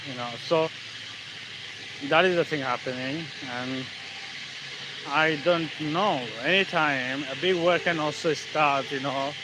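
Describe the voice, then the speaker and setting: A man with a Bangladeshi English accent talking casually on a live stream. He speaks haltingly, with many fillers and restarts, while walking outdoors in the evening. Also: fairly high pitch, noisy background. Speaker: channel host, English learner.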